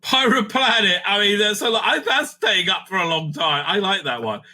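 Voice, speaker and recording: Very bright-voiced man, casual off-the-cuff commentary. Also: high-pitched, moderate pace. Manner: animated, thinks aloud with frequent asides. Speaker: host ranking TV serials.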